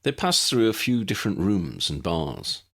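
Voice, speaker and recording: A man reading scripted story narration in a measured, expressive storytelling manner. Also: deep voice, vocal fry, slightly rough. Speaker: audiobook narrator and author.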